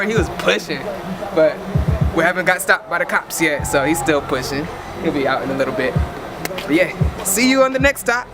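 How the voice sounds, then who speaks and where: A young man vlogging casually from a long road trip with his group, loose and chatty with lots of filler words. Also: sits high. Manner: loose and upbeat, lots of "like" and "you know what I'm saying". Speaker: group member filming the tour. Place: roadside stop and car on a long drive. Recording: distant handheld camera audio with loud road noise.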